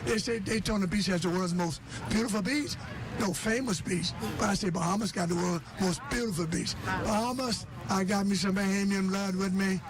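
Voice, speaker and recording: A man's voice: high-pitched, moderate pace, crowd noise. A man hosting a sports show, with a sing-song showman's patter, calling his guests "love". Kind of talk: lively show hosting and interviewing. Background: music.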